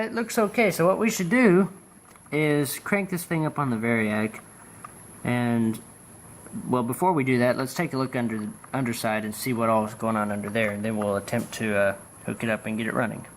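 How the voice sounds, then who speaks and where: A man giving a casual, hands-on demonstration, thinking aloud informally as he works. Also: mid-range voice. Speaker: vlogger demonstrating an electronics restoration. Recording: background noise with mains hum.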